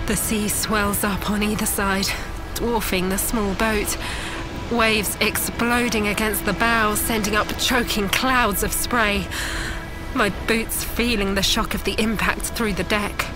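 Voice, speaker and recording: A woman acting as a desperate sailor and parent, narrating a storm at sea in intense, halting fragments for an audio drama. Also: mid-range voice, fairly even intonation, lightly acted, low-quality recording. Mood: grim dread. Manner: intense, breathy, halting delivery in short fragments. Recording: dramatic music under the voice.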